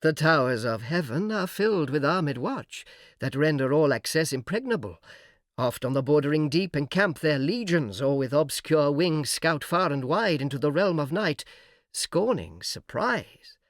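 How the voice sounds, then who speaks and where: A man playing a smooth, persuasive fallen angel who argues in measured rhetorical questions, in a dramatic reading of epic verse. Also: fairly high pitch, lively intonation, dry recording, studio quality. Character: smooth, persuasive fallen angel, graceful false-hearted orator.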